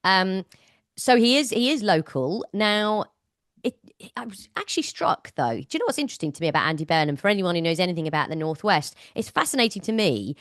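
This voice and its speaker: A woman hosting an interview in casual chat, enthusiastic and lively, with hesitant restarts. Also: on the low side, fairly quick, no room sound, clean studio sound. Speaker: host.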